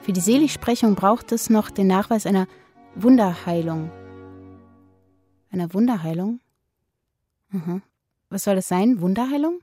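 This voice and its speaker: A woman's voice: mid-range voice, expressive, measured pace, pauses often, warm tone, soft background music, natural voice. A woman playing a curious reader who shares findings casually with companions in a radio play. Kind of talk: conversational radio play dialogue.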